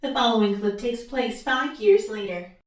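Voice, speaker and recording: A woman voices a stern, impersonal facility computer in an audio drama. She speaks formally in a fairly high voice. Her words ring with echo. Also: normal speed, background noise, natural voice. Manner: formal, clipped announcements. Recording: echoey, reverberant voice.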